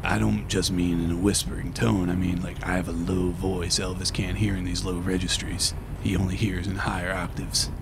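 A man playing a member of a star's entourage in an improvised comedy scene, talking in an earnest, deadpan, literal way. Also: sits low, normal speed, gravelly, few pauses, noisy background.

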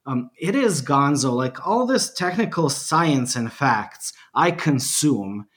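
A man's voice: medium pitch, animated, slow, a bit bright. A man from Bosnia and Herzegovina, a podcast guest chatting animatedly and mocking himself with frequent laughs. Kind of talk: casual interview chat.

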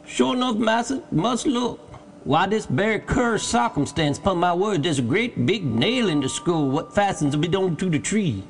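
A man's voice: high-pitched, expressive, moderate pace, creaky, voice pushed up. A man voicing an eager, fearful male servant in an audiobook story, calling out in broken rustic dialect. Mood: puzzled. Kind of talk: dramatized audiobook character.

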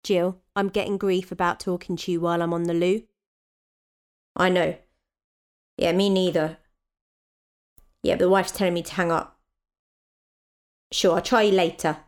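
A woman's voice: lowish voice, level tone, unhurried, long pauses, natural voice. A woman playing a busy mother of four in an audio drama, wry, patient and dry in her delivery. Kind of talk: naturalistic audio drama dialogue.

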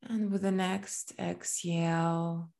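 A woman leading a guided body scan meditation in a soothing, gentle manner, leaving long pauses between phrases. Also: on the low side, little pitch movement, creaky.

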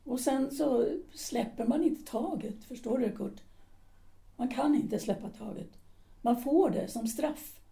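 A woman's voice: high voice, gravelly, mellow. A woman playing a bitter, cold wife. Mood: bitter reflective. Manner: cool, dry, cutting. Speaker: Alice. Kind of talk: audio drama acting.

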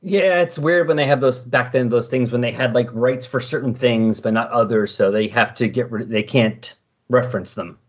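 A man's voice: medium pitch, moderate pace, slightly rough. A man hosting a podcast in casual chat, rambling and correcting himself as he recaps, on a dull, lo-fi recording. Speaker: podcast host. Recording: dull, lo-fi sound.

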